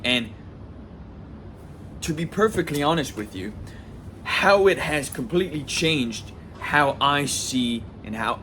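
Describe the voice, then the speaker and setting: A man's voice: medium pitch, fairly bright tone, slight background noise. A man in his twenties from southwest France, talking casually to camera in a rambling personal vlog, full of restarts and "like". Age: 20s. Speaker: vlogger.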